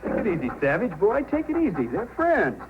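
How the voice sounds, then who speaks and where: An actor playing a gentle, earnest young male dog handler in an old-time radio drama. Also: high-pitched, huge pitch swings, a little fast, very noisy. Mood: coaxing, soothing. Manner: coaxing, soothing, earnest. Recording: tinny old radio broadcast with loud music.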